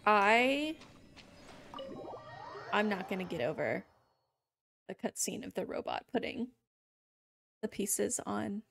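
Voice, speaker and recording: A woman casually commentating on a game live stream, reacting to it in an emotional, warm way. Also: mid-range voice, animated, takes it slowly, creaky, long pauses. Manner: emotional, reacting warmly to the story. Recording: game music behind the voice.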